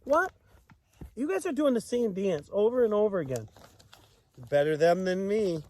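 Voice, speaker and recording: A man reading a children's story aloud in a playful, expressive way, with chatty asides and exclamations. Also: high voice, moderate pace.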